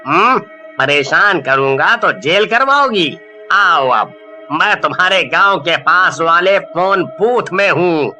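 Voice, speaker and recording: A man's voice: fairly high pitch, expressive, slow pace, sharp tone, soft background music, exaggerated voice. A man voicing a vengeful young bully in a horror story, mocking and menacing. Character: vengeful, taunting young male village bully. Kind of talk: Hindi animated horror story acting.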